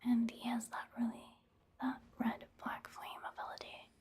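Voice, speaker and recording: A woman softly whispering casual ASMR gameplay commentary in a breathy, hushed, unhurried way. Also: fairly high pitch, level tone.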